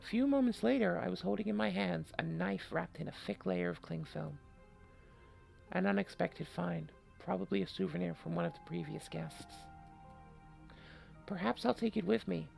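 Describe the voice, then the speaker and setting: A gamer voicing a creaky, wry horror-game heroine. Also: medium pitch, normal speed, a little mellow, soft background music. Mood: wary, resolved. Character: Eve, female game protagonist, wry and self-reproaching narrator. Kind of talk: dramatic reading of visual novel narration.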